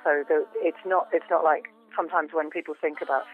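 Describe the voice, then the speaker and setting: A woman with a low voice, a folk musician chatting as a guest in a phone interview, often repeating words while she thinks. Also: steady tone, brisk, breathy edge, soft background music. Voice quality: low female voice. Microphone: telephone. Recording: tinny phone line.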